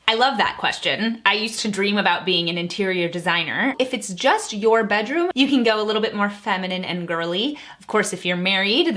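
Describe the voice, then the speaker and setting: A young woman chats to her camera, answering viewers' questions in an upbeat, friendly way. Her voice is bright and forward at a middling pitch. She laughs lightly between answers and keeps a casual, conversational flow. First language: English.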